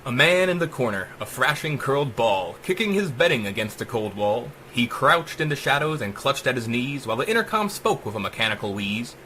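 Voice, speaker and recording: A man narrating a dark rhyming story as a dramatic audiobook, with theatrical, emphatic rhythm. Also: mid-range voice, sing-song, a little fast, hardly any pauses, a little hiss or hum, poor audio.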